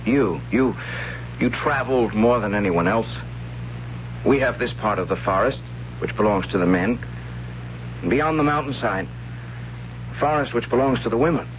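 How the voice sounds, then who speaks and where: An actor playing a gentle, questioning young tribesman in a 1970s radio drama, earnest and hesitant. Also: medium pitch, lively intonation, moderate pace, long pauses, a little hiss or hum, close to an everyday voice. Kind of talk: 1970s radio drama acting, narrating and in character. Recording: dull lo-fi 1970s radio recording.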